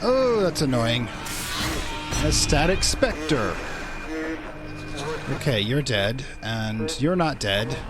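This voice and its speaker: A man's voice: mid-range voice, big pitch swings, slow pace, long pauses. A man giving wry, exclamatory commentary while he plays a video game, muttering and reacting as he goes. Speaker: let's play gamer. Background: loud game music and sound.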